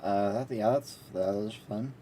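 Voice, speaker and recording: A man's voice: on the low side, a little slow, warm tone, slight background noise. A man streaming a game, chatting casually and thinking aloud. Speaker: game livestreamer. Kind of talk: casual chat while gaming. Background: music.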